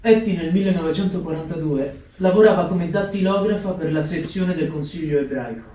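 An actor playing a sober narrator, reading scripted history on stage in a measured, solemn way. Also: male voice, high-pitched, darkish tone. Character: sober male narrator recounting wartime history. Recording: very echoey room, distant mic.

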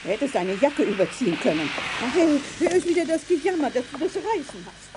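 An actress playing an excitable, scolding shopkeeper squabbling in a comic children's radio play. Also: female voice, high-pitched, a little fast. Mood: agitated scolding. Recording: clear background noise.

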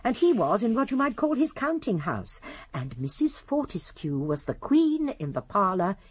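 An actress playing a very old, genteel English lady detective in a radio drama, politely deferential yet gently persistent with pointed questions. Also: mid-range voice, very animated, moderate pace, breathy edge, dry recording. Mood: deferential, insistent. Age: older.

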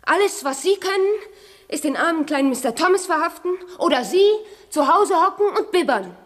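A woman's voice: extremely high pitch, expressive, a little fast, long reverb tail. An actress playing a proud, headstrong young English lady, imperious and outspoken, in a German radio play. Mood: indignant.

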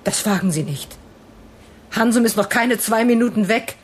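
Radio drama heroine female actor: proud doctor under threat, cutting, formal delivery, slightly high register, faint noise behind. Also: normal speed, close to an everyday voice. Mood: cold, defiant. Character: proud, defiant woman doctor held by criminals.

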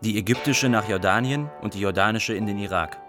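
A man's voice: lowish voice, fairly quick, music in the background, lightly acted. A man acting as a refugee, narrating in a matter-of-fact way in a radio play. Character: matter-of-fact male refugee describing a seedy city.

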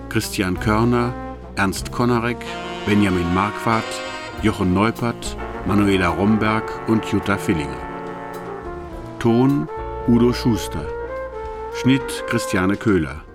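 A man reading a scripted closing credits announcement as a radio announcer. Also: fairly low pitch. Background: brass music.

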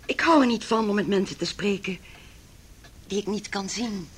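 A woman's voice: medium pitch, moderate pace, slightly rough, lightly acted. An actress playing a cold, disapproving female house owner in a radio drama, curt and imperious. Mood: cold, reproachful.

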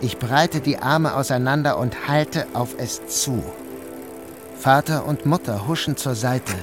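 A man's voice: mid-range voice, measured pace. Man narrating a dreamy radio play over music. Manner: inward, dreamy first-person narration. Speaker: narrator and lead actor.

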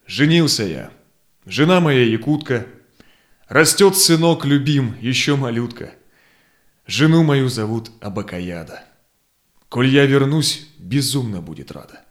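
Actor as earnest, mid-pitched Cossack envoy. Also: male voice, animated, unhurried, natural voice. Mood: sincere, firm. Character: steadfast, conciliatory Russian Cossack envoy, husband and father. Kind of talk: theatrical verse drama acting.

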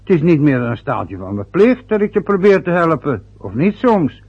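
A man's voice: on the high side, big pitch swings, moderate pace, breathy edge, full character voice. An actor in a radio play voicing a domineering, sarcastic middle-aged father who mocks and lords it over his family. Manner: mocking, overbearing, sarcastic. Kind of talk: radio drama acting. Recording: dull lo-fi radio recording.

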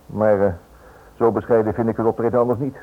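A man's voice: on the low side, little pitch movement, fast talker, natural voice. Man acting stubborn husband in a muffled radio play. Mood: stubborn, dismissive. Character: stubborn, protective husband and father, a writer.

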